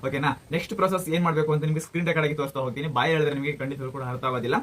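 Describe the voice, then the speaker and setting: Man, rapid speech, raised pitch, casual tutorial host, frequent check-ins with viewers. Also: fairly even intonation, a bit bright. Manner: addresses viewers directly with frequent check-in tags. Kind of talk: casual how-to explanation to viewers.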